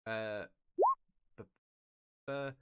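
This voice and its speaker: A middle-aged man acting as game master, narrating and calling rules haltingly, humming little filler syllables as he thinks. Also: fairly low pitch, fairly even intonation, dull audio, noisy background. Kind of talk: improvised tabletop game narration and rules talk. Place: small indoor room, online video call.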